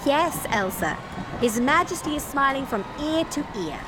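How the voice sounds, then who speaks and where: A woman's voice: high-pitched, sing-song, normal speed, noisy background. A woman acting in an audio drama as a breezy, unflappable committee member, reassuring, matter-of-fact and wryly teasing. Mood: bright reassurance.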